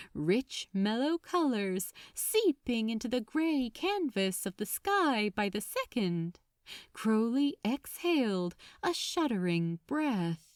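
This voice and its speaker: Lady narrating a story, really expressive, takes her time, dramatic read. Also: on the high side, no echo, studio quality. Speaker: audiobook narrator. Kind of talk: dramatic scripted fiction narration.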